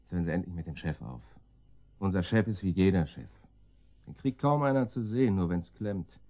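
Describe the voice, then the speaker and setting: Casting: laconic criminal minder in radio drama; deep mellow voice, curt, deadpan irony, dull sound. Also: male voice, fairly quick. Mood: curt menace. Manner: laconic, dry, gruffly commanding. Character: laconic, dryly ironic gangster guarding a captive. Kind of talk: German radio drama acting.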